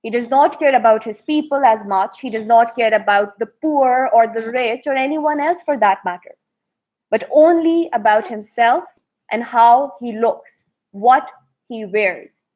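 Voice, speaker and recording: A woman reading a fairy tale aloud to children with deliberate, expressive emphasis. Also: a little high, muffled recording. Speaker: children's storyteller.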